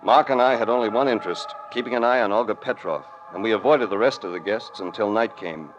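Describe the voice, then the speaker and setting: An actor playing a cool, sardonic American investigator in 1940s hard-boiled radio style. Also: male voice, lowish voice, fast talker, highs cut off, lightly acted. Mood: grim narration. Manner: dry, sardonic, clipped. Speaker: lead and narrator. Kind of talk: 1940s radio acting, noir narration. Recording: vintage radio drama with music.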